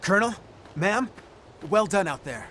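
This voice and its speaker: A man's voice: sits high, animated, measured pace, background noise. A man playing an earnest, eager young soldier in a video game, respectful and deferential. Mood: impressed, respectful.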